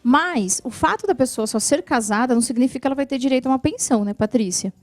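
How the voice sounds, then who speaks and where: A Brazilian woman answering viewers' questions about benefits in a TV interview, explaining patiently and clearly, often adding "né". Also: high voice, fast talker, darkish tone, no echo. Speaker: social security lawyer guest. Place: TV studio.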